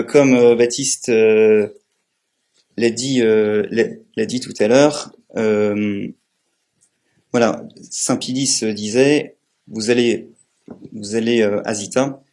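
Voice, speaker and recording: A man from France moderating a live public talk, asking questions in a halting way and searching for words. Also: fairly low pitch, darkish tone. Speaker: moderator, interviewer. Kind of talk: live public interview, semi-prepared questions.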